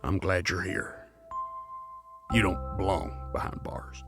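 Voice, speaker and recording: A man playing a grieving, guarded outlaw in a scripted audio drama, speaking in a measured, somber way. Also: low voice, flat delivery. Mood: tender, subdued. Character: grieving male outlaw of a heist crew, guarded. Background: music.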